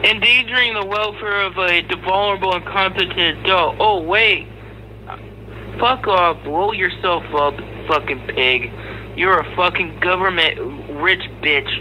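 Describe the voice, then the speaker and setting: A man's voice: high-pitched, measured pace, sharp tone, a little hiss or hum, poor audio. A man giving an angry, profane rant in an aggrieved, sarcastic manner. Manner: aggrieved, sarcastic, foul-mouthed. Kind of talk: angry, profane rant to camera and recorded audio.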